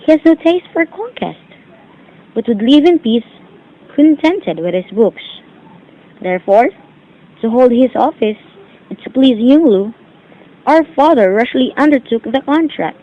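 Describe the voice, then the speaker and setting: Woman acting an earnest young daughter, high voice, muffled. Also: animated, unhurried, long pauses. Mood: earnest, explaining. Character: dutiful, worried young daughter of a mandarin. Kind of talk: declaimed amateur stage-play acting. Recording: dull lo-fi amateur recording.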